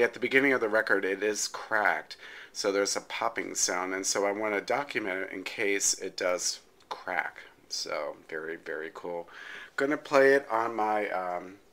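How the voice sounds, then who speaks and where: Male hobby vlogger, low-pitched and forceful, informal and enthusiastic delivery. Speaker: vlogger, record collector. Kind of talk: casual show-and-tell chat.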